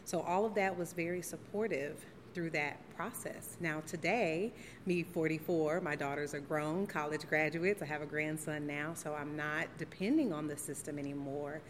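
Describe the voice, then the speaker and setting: Low-voiced woman in her forties, reflective. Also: moderate pace, in a large hall, a little hiss or hum. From St. Louis, Missouri, USA. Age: 40s. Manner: reflective, steady, with frequent ums. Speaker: oral history interviewee. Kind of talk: oral history interview. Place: theater venue.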